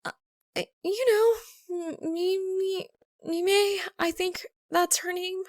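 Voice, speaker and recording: A woman voicing a flustered, earnest young college woman who talks haltingly and gets defensive, in an audiobook story. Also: very high-pitched, little pitch movement, no echo, voice pushed up. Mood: nervous, stammering. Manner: halting, stammering, blurting.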